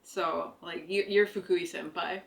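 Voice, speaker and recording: A woman's voice: lowish voice, steady tone, unhurried, vocal fry. A Canadian woman in her thirties co-hosting a casual podcast, chatty, laughing often and trailing off with fillers. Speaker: podcast co-host. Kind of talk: casual chat.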